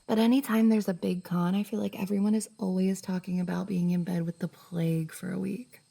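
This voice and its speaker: A woman playing a shy, bubbly young cosplayer and affectionate best friend in an intimate roleplay ASMR, soft, hesitant and giggly. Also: medium pitch, level tone, a little fast. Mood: playful, happy. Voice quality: soft, breathy, slightly husky. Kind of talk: F4M roleplay ASMR, intimate one-sided conversation.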